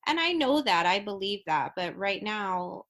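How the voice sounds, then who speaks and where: A woman in her late thirties, a podcast guest speaking in a halting, heartfelt way with many restarts and fillers. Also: mid-range voice, very animated, a bit bright. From Florida. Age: 30s. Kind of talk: casual podcast conversation.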